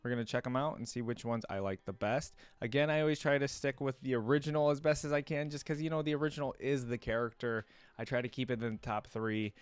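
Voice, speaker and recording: A man's voice: mid-range voice, steady tone, fast, smooth, no echo. A man hosting a reaction video, talking casually and thinking aloud as he addresses his viewers.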